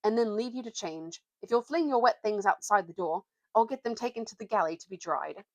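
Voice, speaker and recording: A woman voicing a considerate young king in a storybook, brisk and polite as he gives orders. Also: mid-range voice, expressive, no room sound, natural voice. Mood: brisk, hospitable. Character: hospitable, considerate young male king aboard ship.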